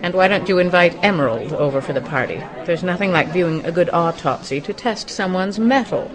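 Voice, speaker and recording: A woman's voice: on the low side, animated, brisk, a crowd in the background, lightly acted, poor audio. A woman acting a dry-witted, composed autopsy doctor in an old-time radio mystery, matter-of-fact and wry. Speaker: radio drama actor.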